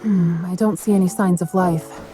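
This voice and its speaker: A young woman voice-acting a capable, skeptical bodyguard heroine in a video game cutscene, practical and quick to retort. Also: lowish voice, steady tone, natural voice. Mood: focused. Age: young adult. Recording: music behind the voice.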